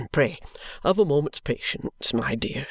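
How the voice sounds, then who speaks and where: A reader voicing a timid, perplexed middle-aged stationer who stammers, hesitates and clears his throat. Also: mid-range voice, lively intonation, slow, no echo, heavily acted. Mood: hesitant.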